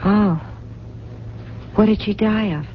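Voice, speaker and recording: An actress playing a lovelorn, stubborn, anxious woman in a 1970s radio drama, with halting phrases and repeated words. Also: medium pitch, steady tone, natural voice. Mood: anxious, curious. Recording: dull, faintly noisy 1970s radio broadcast.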